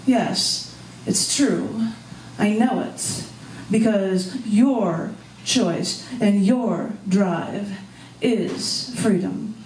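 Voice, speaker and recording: A woman's voice: mid-range voice, darkish tone. An American woman speaking as a poet and host at a live open mic, with a spoken-word delivery. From United States. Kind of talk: live spoken-word poetry reading with casual asides. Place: indoor bar gallery open mic. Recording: roomy, distant live recording with background noise.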